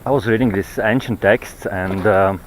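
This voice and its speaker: Male walking vlogger, lowish pitch, non-native English, rambling and repetitive delivery, noisy open-air trail sound. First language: not English. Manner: halting, searching for words, repeats himself. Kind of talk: rambling walk-and-talk monologue on ancient texts. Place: outdoors on a mountain trail. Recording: noisy outdoor handheld recording with clicks.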